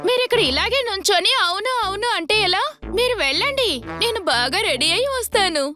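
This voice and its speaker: A fussy young cartoon lady, extremely high and shrill. Her delivery swings dramatically up and down over background music. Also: animated, brisk. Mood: fussy, questioning. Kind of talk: cartoon dub acting.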